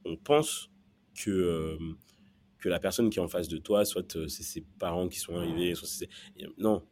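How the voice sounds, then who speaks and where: A man's voice: low-pitched, normal speed, a little mellow, slight background noise. A man from Belgium telling his personal story as a podcast guest, hesitant and often repeating words as he searches for phrasing. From born in Central Africa, raised in Belgium from early childhood.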